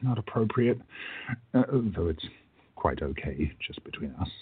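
Man acting a courteous, husky-voiced time traveller. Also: low-pitched, sing-song, normal speed, phone-quality sound, full character voice. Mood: courteous, cautioning. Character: kindly, courteous male time-travelling shopkeeper, gently guiding. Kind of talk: radio play acting.

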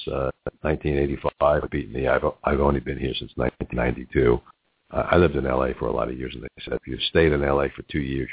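Male host, middle-aged, New York accent, very low husky voice, business interview, thin phone-like sound. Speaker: radio talk show host. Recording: muffled narrow phone-like audio.

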